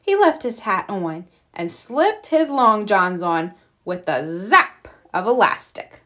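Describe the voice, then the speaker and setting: A woman reading a children's picture book aloud expressively, deliberate and playful with the sound words. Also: mid-range voice, big pitch swings, fairly bright tone. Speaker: read-aloud storyteller.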